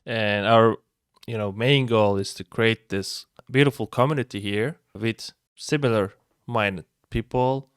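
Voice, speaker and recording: A man's voice: on the low side, measured pace, clear, resonant tone, dry recording, clean studio sound. A man from Turkey, co-hosting a podcast in a playful, joking way with some stumbles. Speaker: podcast co-host. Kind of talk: casual podcast chat.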